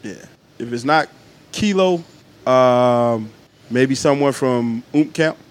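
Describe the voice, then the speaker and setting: A man's voice: medium pitch, slow. A middle-aged man, a podcast guest telling loose, joking, profane stories in casual chat. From Atlanta, Georgia. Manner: laid-back, joking, profane storytelling.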